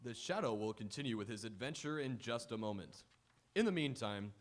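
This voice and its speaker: A man announcing on an old-time style radio show. His voice sits at a middle pitch. The delivery is scripted, formal and deliberate.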